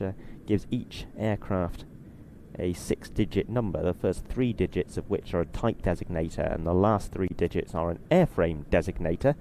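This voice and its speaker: Slightly husky, low-toned male live airshow commentator. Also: warm tone, slight background noise. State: a little short on sleep, slightly husky. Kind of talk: live broadcast commentary, explanatory and ad-libbed.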